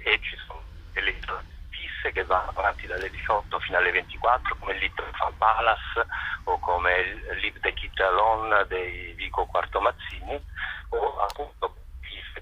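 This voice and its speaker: A man's voice: sits low, highs cut off, a little hiss or hum. A man answering interview questions as a festival director, explaining at length in a hesitant, discursive way.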